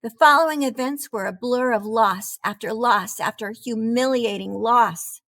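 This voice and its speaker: Casting: female reader as a proud talking cheese narrating a storybook, high-pitched, theatrical, unhurried. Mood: baffled, dismayed. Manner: theatrical, expressive, deliberate delivery. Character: proud, dramatic cheddar wheel learning humility, storybook narrator. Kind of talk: animated children's storybook read-aloud.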